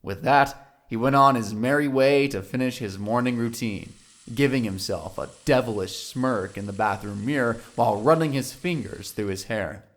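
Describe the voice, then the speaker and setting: A man's voice: medium pitch, normal speed. A man narrating a scripted audio drama in a literary storytelling style.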